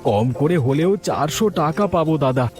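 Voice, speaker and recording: A man voicing a loyal, outspoken younger village fisherman, earnest in manner, in an animated story. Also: on the high side, normal speed, close to an everyday voice. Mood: concerned, eager. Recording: faint music behind the voice.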